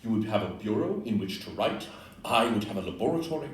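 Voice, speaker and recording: A man's voice: lowish voice, lively intonation, brisk, close to an everyday voice. A man acting a keen, exacting detective on stage in an audio play, speaking in a precise, declamatory, analytical way. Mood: reasoning. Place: live indoor performance in a club room. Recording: roomy distant live recording, background noise.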